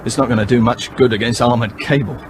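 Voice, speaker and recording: A wry, practical technician aboard a submarine played by a male actor, pitched in the middle for a man. He talks tersely and matter-of-factly about machinery, as in a radio play. Noisy ambience surrounds the voice. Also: steady tone, fast, lightly acted. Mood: tense, focused. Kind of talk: radio drama acting. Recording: noisy, constant background ambience.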